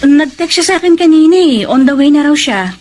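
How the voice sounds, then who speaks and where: High-voiced gentle Filipino wife. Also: female voice, lively intonation, a little fast. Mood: nervous. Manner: soft-hearted, emotional. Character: gentle, emotional, forgiving middle-aged wife. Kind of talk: Filipino radio drama acting.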